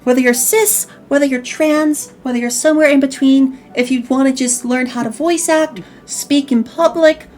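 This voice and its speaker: A high-voiced woman with a slight Chicago accent hosts a casual online chat show. She talks in a chatty, animated way and restarts her words often. Soft music plays faintly underneath. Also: normal speed, few pauses. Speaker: podcast host.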